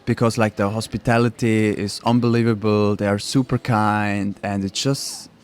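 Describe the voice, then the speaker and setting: A man's voice: lowish voice, normal speed, a little hiss or hum. A young man from Switzerland with Croatian roots, a non-native English speaker, being interviewed on radio and laughing easily. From Switzerland, Croatian family. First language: not English. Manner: relaxed, laughs often, simple non-native phrasing. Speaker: guest cyclist.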